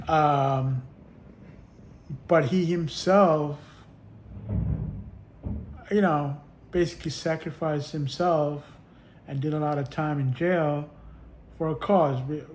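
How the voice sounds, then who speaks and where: A man vlogging in a casual, rambling monologue, halting often with restarts and little chuckles. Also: on the high side, darkish tone, a little hiss or hum.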